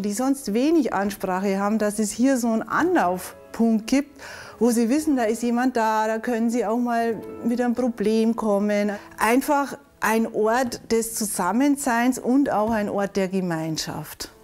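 A woman's voice: fairly high pitch, measured pace, a little mellow, faint music behind. Woman explaining in an interview, lapel mic. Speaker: social worker at a neighbourhood center. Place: community room, indoors.

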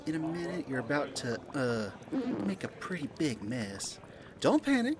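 Folksy, lively pink elephant barmaid, played by an amateur actor. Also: medium pitch, normal speed, a little hiss or hum, natural voice. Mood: flustered, reassuring. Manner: folksy, coaxing, calls listener sweetie and dear. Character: voluptuous pink balloon elephant barmaid, bubbly, motherly, flirty. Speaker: soda bar server in an audio play. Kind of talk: amateur audio play, second-person roleplay monologue.

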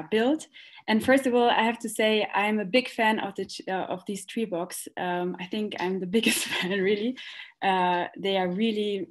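A woman giving an informal webinar presentation over a video call, in a hesitant, halting way. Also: medium pitch.

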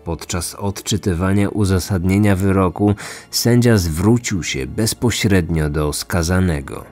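A man's voice: deep voice, a little slow, darkish tone. A man narrating a scripted true-crime podcast. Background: faint music.

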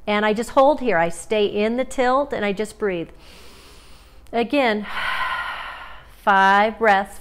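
A woman in her forties teaches simple core exercises in a small home studio. She speaks in a calm, instructive way and gives steady breathing cues. A lapel mic picks up her voice up close. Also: mid-range voice. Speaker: movement therapist. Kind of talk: exercise demonstration.

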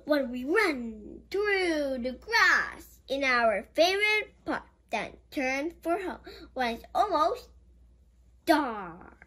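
A woman's voice: high-pitched, sing-song, takes it slowly, bright, forceful tone. A female host reading a picture book aloud for children, adding playful comments in a childlike, exclamatory, simple sing-song manner.

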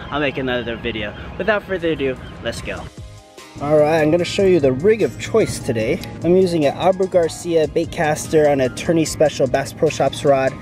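A man in his thirties, presenting an instructional vlog in a casual, step-by-step manner. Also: on the high side. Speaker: fishing vlogger presenting a tutorial. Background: background music.

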